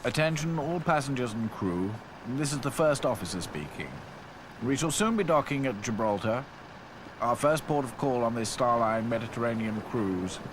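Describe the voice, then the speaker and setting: A man voicing a formal male ship's officer making a loudspeaker announcement. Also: medium pitch, lively intonation, normal speed, background noise, lightly acted.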